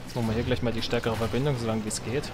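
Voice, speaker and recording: A man's voice: mid-range voice, level tone, rapid-fire, background noise. A man giving casual, dryly ironic let's play commentary, half talking to himself. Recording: game music behind voice. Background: strategy game sounds and music.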